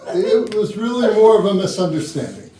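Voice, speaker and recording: A woman's voice: medium pitch, big pitch swings, normal speed, warm tone, lightly acted. A woman playing a polite, evasive, formal senior company woman in a staged murder mystery comedy. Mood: polite relief. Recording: echoey room, distant mic, faint noise.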